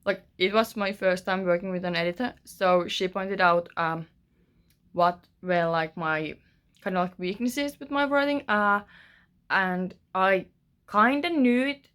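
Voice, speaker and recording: A woman's voice: mid-range voice, unhurried, a bit bright, clean tone. A young woman with a Finnish accent gives a casual writing-vlog update from her bedroom, rambling with many fillers and restarts. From Finland. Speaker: author vlogger.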